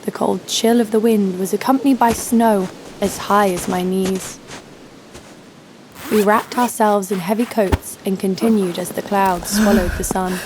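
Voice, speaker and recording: A woman's voice: medium pitch, fairly even intonation, measured pace, noisy background, lightly acted. A woman playing a tough, sardonic knife fighter who tells her own story in an audio drama. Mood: dry, tense. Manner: dry, sardonic. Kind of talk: scripted first-person audio drama narration. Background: music.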